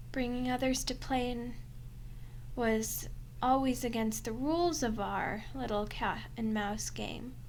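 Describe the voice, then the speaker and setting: Slow-talking sardonic vampire woman, acted by a woman. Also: on the high side, slight background noise. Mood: wounded, defiant. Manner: teasing sarcasm, often dropping to breathy murmurs. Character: starving captured vampire woman, sardonic, flirtatious, proud, menacing. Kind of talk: ASMR roleplay acting.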